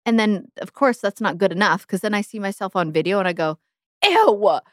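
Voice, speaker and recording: A woman's voice: mid-range voice, fairly quick, no room sound, studio quality. A woman in her thirties, a podcast guest, talking candidly and with animation, often poking fun at herself. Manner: candid, animated, self-deprecating. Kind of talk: casual chat.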